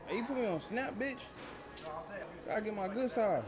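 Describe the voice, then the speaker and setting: A man's voice: high-pitched, lively intonation, moderate pace. Teasing young man at the gym. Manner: teasing, hyping up his friend. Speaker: workout partner filming. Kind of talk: casual banter at the gym. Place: large indoor gym. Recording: gym music behind the voice. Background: music playing.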